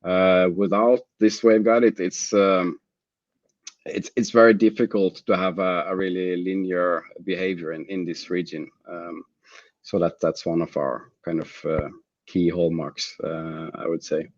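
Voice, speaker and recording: A man answering technical interview questions as a product manager, in halting, measured phrases. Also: sits low, flat delivery, dry recording, highs cut off.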